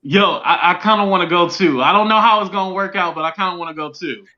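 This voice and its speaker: A man's voice: sits high, fast talker. A man speaking as a guest on a casual live chat over a call, easygoing and agreeable, often laughing along. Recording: dull lo-fi call audio.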